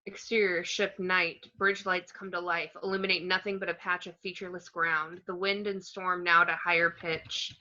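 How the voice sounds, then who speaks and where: Bright, low-voiced woman reading stage directions. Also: level tone, moderate pace, few pauses, lo-fi sound. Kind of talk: scripted screenplay narration at an amateur table read.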